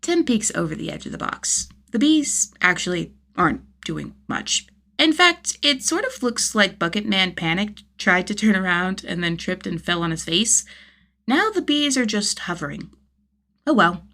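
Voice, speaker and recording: A woman reading a story as narrator, in expressive scripted narration. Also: mid-range voice, normal speed, gravelly. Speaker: podfic narrator.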